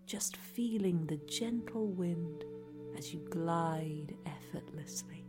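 A woman reading a scripted guided relaxation in a soothing, hushed, deadpan way. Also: lowish voice, slow pace. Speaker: narrator, reader. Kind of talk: scripted guided relaxation narration, parody meditation. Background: music.

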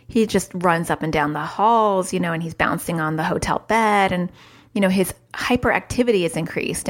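A woman hosting a solo podcast, talking in a chatty, upbeat way with lively jumps in pitch. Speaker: podcast host, parent.

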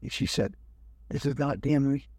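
Husky older man telling a story. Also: mid-range voice, normal speed, dry recording. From United States. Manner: animated, acts out other people's lines. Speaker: guest. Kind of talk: storytelling in an interview.

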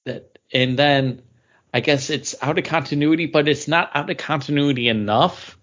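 A man's voice: fairly high pitch, moderate pace, fairly bright tone. A man co-hosting a comics podcast, chatty and leaning on the word "like". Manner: chatty, leans on "like". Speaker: podcast co-host. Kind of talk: casual chat. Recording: dull lo-fi audio.

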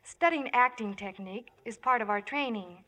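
Young high-voiced 1950s starlet. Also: female voice, moderate pace, sharp tone. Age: young adult. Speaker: guest starlet. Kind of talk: scripted 1950s radio chat.

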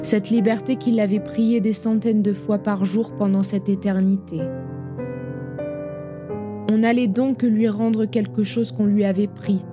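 A woman narrating an audio drama in a measured, solemn storytelling voice. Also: medium pitch, little pitch movement. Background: piano music.

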